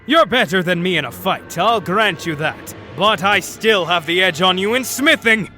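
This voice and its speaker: A man's voice: high-pitched, lively intonation, moderate pace, sharp tone, faint music behind. A man voicing a hearty, boastful Viking dwarf smith, cheerful and battle-hardened, in a fantasy fan dub. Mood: hearty banter.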